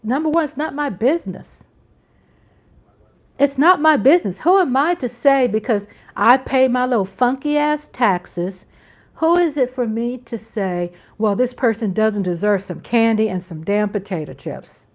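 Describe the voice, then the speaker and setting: A woman's voice: fairly high pitch, a little slow, a little mellow. An American woman hosting a casual live-stream chat from home, talking in an earnest, rambling way with frequent restarts. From United States. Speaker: channel host.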